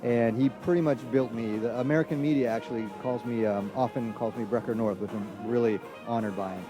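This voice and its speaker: A man from Trinidad chatting casually as a musician being interviewed, using relaxed hip hop slang. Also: medium pitch, fairly quick, low-quality recording. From Trinidad, from San Fernando. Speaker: jazz trumpet player, interview guest. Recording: loud music in the background.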